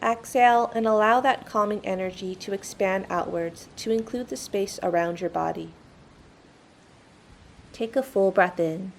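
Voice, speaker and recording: Creaky-voiced woman guiding meditation. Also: medium pitch, unhurried, slight background noise. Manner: soothing, instructional. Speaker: meditation guide. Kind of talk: guided meditation narration.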